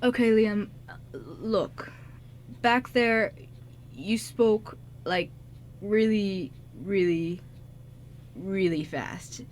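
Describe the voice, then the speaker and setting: A woman's voice: mid-range voice, drawn-out words, long pauses. A woman playing a shy young male musician in an amateur radio drama, speaking hesitantly with many stammers. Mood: nervous, confessing. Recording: light background noise.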